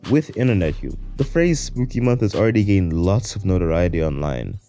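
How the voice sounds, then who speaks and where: Male video essayist, low and mellow, casual slangy scripted narration. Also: lively intonation, brisk. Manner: informal, slangy. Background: faint music.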